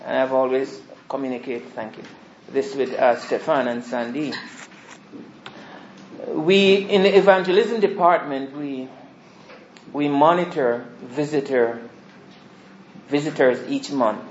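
A soft-spoken man giving a rambling, informal sermon introduction to a small congregation. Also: steady tone, unhurried, long pauses, slight background noise. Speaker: visiting preacher. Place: small church hall. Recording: echoey hall, dull low-fi sound.

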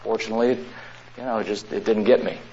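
A man preaching a sermon to a room of listeners, drawing out his words with long pauses. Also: on the low side, steady tone, mellow, a little hiss or hum. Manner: slow, drawn-out phrasing with long pauses. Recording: dull lo-fi recording.

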